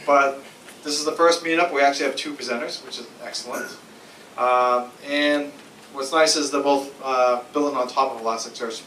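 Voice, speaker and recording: A man's voice: medium pitch, a bit bright. A man organizing and hosting a tech meetup, casual with fillers. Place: meetup room. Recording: echoey room, distant mic, faint noise.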